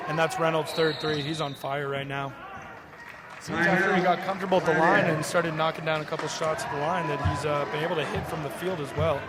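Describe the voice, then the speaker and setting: Young man, somewhat high-pitched, basketball color analyst, live courtside commentary, noisy gym background. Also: flat delivery, brisk. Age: young adult. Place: indoor school sports gym. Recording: noisy courtside gym, ball bounces behind. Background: gym ambience, bouncing basketballs, clear noise.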